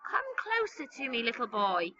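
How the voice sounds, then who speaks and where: A woman voicing a small, mysterious old man who speaks slowly and creakily, coaxing and whispering. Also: a little high, huge pitch swings, bright, forceful tone, no room sound, full character voice. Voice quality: slow and creaky. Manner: whispering, wheedling. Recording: dull, distant mic.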